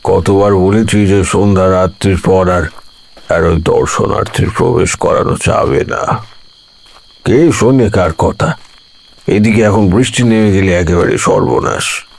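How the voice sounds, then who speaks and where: Slow, gravelly old village priest. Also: male voice, low-pitched, exaggerated voice. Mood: weary grumbling. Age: older. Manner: slow, halting, repeats words in anguish. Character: kindly, anguished old village priest, protective and devout. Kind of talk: Bengali audio horror drama acting. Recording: music bed under the voice. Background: music.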